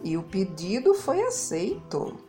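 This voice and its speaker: Expressive Brazilian woman telling children's stories. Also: medium pitch, unhurried, soft background music. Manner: dramatic, emphasizes and repeats words. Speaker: children's storyteller, podcast host. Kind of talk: expressive children's story narration.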